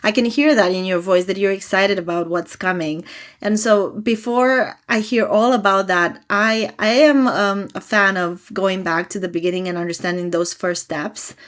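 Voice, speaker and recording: A woman's voice: mid-range voice, normal speed. Woman podcast host asking questions. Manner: friendly, encouraging questions. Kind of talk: podcast interview.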